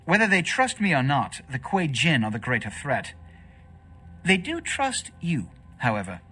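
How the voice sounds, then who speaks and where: An actor playing a haughty, scheming vampire prince in a video game, with pompous, formal, imperious speech. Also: male voice, fairly high pitch, huge pitch swings, a little slow, bright, forceful tone, slightly rough, exaggerated voice. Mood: commanding, persuasive. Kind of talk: theatrical video game voice acting.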